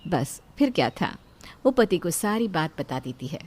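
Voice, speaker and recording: A woman's voice: on the low side, sing-song, a little slow, darkish tone. A woman reading a scripted family story as a narrator.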